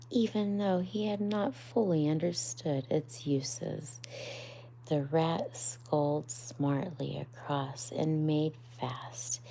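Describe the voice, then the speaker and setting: Mom reading a bedtime story real slow, soft and whispery, low gravelly voice. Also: female voice, a little hiss or hum, poor audio. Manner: soft, hushed narration, often near a whisper; raises voice for characters' lines. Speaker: storybook reader on a live stream. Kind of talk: bedtime story read-aloud.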